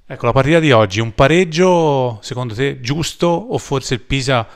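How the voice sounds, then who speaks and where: A man's voice: medium pitch, moderate pace. A man interviewing a footballer after a match, asking long, winding questions.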